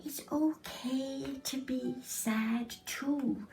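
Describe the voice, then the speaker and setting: Storybook mother, read by a woman. Gentle, concerned, careful, unhurried delivery. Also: sits high, fairly even intonation, creaky, distant mic, a little hiss or hum, full character voice. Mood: gentle, sad. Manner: soft, careful, soothing. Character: gentle, concerned mother consoling her child. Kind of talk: storybook reading.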